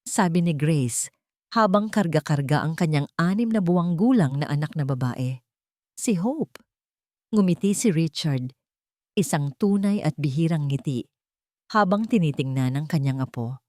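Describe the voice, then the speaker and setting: A woman reads a scripted dramatic story in a low voice. Her intonation moves widely, with a solemn, sentimental storytelling feel. The recording is dry and close. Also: dark tone, no room sound. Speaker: audio-drama narrator.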